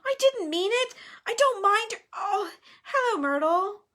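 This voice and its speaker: A woman voicing an anxious, placating schoolgirl with a hurried, over-sweet manner in a storybook reading. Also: extremely high pitch, animated, bright, forceful tone, voice pushed up, exaggerated voice.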